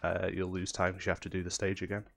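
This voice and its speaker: A man's voice: low voice, fast, vocal fry, soft background music. A man with a cold and a nasal voice, casually explaining technical tricks as he commentates his own speedrun. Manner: relaxed, explanatory, with fillers. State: has a cold.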